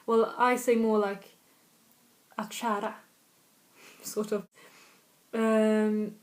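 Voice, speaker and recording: A woman's voice: medium pitch, little pitch movement, very slow, a little mellow. A woman from north of Stockholm with a Swedish accent, chatting casually as a language tutor and repeating example phrases. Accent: Swedish, slightly northern. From Sweden, north of Stockholm. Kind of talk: casual video lesson.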